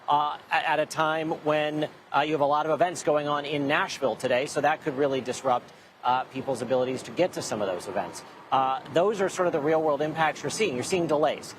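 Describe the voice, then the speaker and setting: A male transportation correspondent with a slightly high voice gives a live, conversational news report. He speaks from a remote location, with faint outdoor traffic noise behind him.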